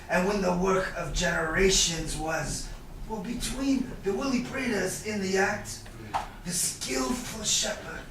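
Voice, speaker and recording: A man acting a bitter, sly moneylender in a Shakespearean staged reading, with a hesitant, drawn-out, chuckling delivery. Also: high-pitched, fairly bright tone. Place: live staged reading in a reverberant room. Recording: echoey room, distant mic, faint noise.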